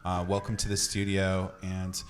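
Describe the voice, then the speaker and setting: A man's voice: low-pitched, completely flat delivery, normal speed, a little hiss or hum. A man hosting a casual radio interview in a studio, speaking in an effusive, encouraging way.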